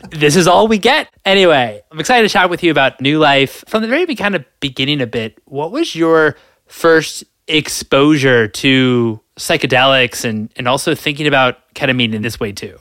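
A man's voice: on the high side, animated, normal speed, a bit bright, dry recording, studio quality. A man hosting a podcast, reading a scripted intro and asking friendly questions. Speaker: podcast host. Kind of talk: scripted intro and casual interview. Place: Los Angeles.

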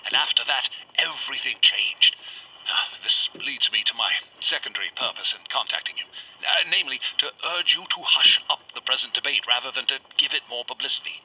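An old rural Vermont man dictates a letter for a radio drama. His voice is mid-pitched and very sharp, with a halting, formal, earnest manner and an undercurrent of fear. A faint noise of an old recording machine runs under it. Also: rough recording. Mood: uneasy, insistent. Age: older.

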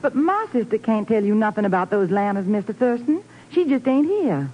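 A woman's voice: a little high, sing-song, a little fast, dull audio, noisy background. A folksy woman in a 1950s radio mystery. She is feisty and defensive, with a melody that rises and falls widely. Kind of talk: 1950s radio drama acting.

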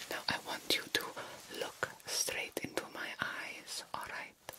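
A woman's voice: lightly acted, low-quality recording. A woman voicing an attentive, courtly vampire doctor in a whispered ASMR roleplay, soothing and formal in her phrasing. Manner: slow, soothing, formal old-fashioned phrasing, reassuring.

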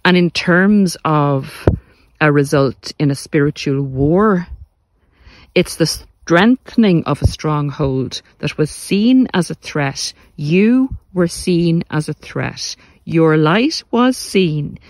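A man giving a motivational spiritual talk as a podcast host, speaking earnestly and deliberately, with repeated phrases for emphasis. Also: a little high, expressive, no echo. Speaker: podcast host, narcissistic abuse recovery coach.

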